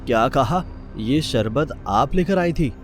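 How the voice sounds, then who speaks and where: Anxious, formal king for a moral-tale cartoon played by a man, mid-pitched, with music clearly behind. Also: animated, takes it slowly. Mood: alarmed. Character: anxious, stern king of a folktale kingdom. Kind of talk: Hindi moral-story cartoon dubbing. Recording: voice over prominent background music.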